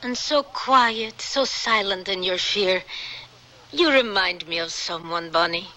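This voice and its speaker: Bright-voiced older Polish immigrant woman. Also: mid-range voice, animated, poor audio. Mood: gentle, uneasy. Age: 50s to 60s. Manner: measured, formal phrasing, gently questioning. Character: older Polish immigrant cleaning woman, calm, gently talkative. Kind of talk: radio drama acting.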